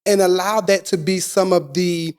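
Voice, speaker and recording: High-voiced man hosting a podcast. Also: moderate pace, clear, resonant tone. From Florida, USA. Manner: relaxed and chatty, tags lines with "right?". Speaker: podcast host. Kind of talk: casual podcast conversation.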